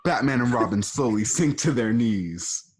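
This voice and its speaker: A man's voice: medium pitch, expressive, measured pace, breathy edge. A man narrating the stage directions of an amateur radio drama in a plain, matter-of-fact way.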